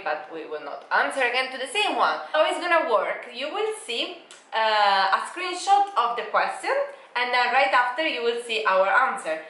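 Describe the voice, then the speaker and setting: A woman's voice: fairly high pitch, pushed and bright, reverberant, rough recording. A woman in her twenties, a native Italian speaker, chatting casually on a travel vlog and laughing often. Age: 20s. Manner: bubbly, laughs often, non-native phrasing. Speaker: travel vlogger. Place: holiday apartment in Bali.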